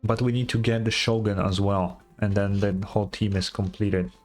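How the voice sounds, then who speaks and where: A man's voice: fairly low pitch, steady tone, normal speed, soft background music. A man giving casual live reaction commentary as a game streamer, blunt, skeptical and sweary.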